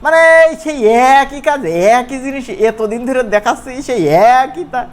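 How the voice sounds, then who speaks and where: A man gives a casual, chatty software tutorial in an informal, colloquial way, often checking in with his listeners. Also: very high voice, normal speed. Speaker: animation course instructor. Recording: clear background noise.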